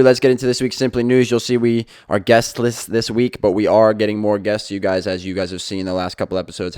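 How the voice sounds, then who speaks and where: A man's voice: low-pitched, clear, resonant tone, no room sound, studio quality. A young man hosting his own podcast, talking casually and rambling a little, with frequent fillers and restarts. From England. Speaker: podcast host. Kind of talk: casual solo podcast talk.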